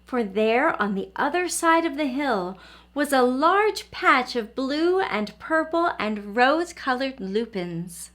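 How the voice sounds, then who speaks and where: A woman's voice: high voice, lively intonation, takes it slowly, a bit bright. A woman reading a children's picture book aloud as a teacher, expressively and addressing young listeners directly.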